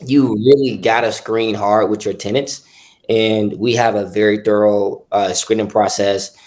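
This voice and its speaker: Man from Chicago, deep voice, monotone delivery, measured, podcast guest. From South Side of Chicago. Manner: measured, repeats words as he talks. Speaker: real estate investor guest. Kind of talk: casual podcast interview.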